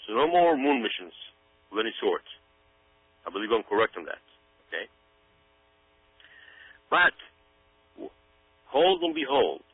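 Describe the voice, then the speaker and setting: A man's voice: mid-range voice, dry recording. A man giving unscripted talk-show commentary in an urgent, emphatic way, often stopping to check that listeners follow. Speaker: guest commentator. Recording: narrow, tinny phone-like radio sound.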